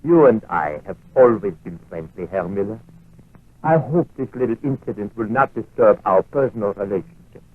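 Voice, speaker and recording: An actor playing an evasive middle-aged Nazi German official with a German accent, formal and ingratiating, in 1940s radio style. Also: male voice, lowish voice, animated, normal speed, no echo. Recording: tinny, narrow-band 1940s radio recording.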